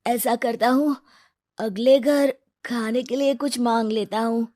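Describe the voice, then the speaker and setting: A woman's voice: a little high, steady tone, unhurried, warm tone, no echo, clean studio sound, pitched above the performer's own voice, natural voice. A woman voicing an earnest, hardworking and grateful young schoolboy in a moral story. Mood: worried, determined.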